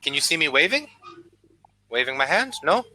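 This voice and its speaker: An American man, an online English tutor, chatting patiently on a video call and speaking slowly and clearly. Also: fairly high pitch, big pitch swings, bright, forceful tone, no echo, poor audio. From United States. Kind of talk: casual conversation lesson.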